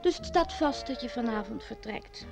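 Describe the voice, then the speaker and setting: A woman's voice: fairly high pitch, expressive, moderate pace, gravelly, over music. A woman playing a wistful, jealous young innkeeping daughter of a mayor in a radio play, on a dull old recording. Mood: wistful, sulky. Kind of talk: radio drama acting.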